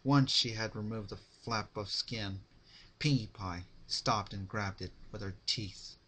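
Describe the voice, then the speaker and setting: A man narrating fan fiction as a dramatic reading, with deliberate phrasing. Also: on the low side, a bit bright.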